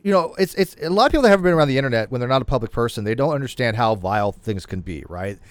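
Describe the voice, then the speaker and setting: A man's voice: mid-range voice, lively intonation, racing, no room sound. A man in his late forties hosting a casual livestream chat, rambling with many restarts. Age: 40s. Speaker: host.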